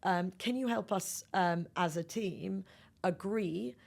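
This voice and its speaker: A woman's voice: fairly low pitch, slow pace, vocal fry, a little mellow. A woman speaking as a sales executive and podcast guest, explaining business practice emphatically in a conversational interview.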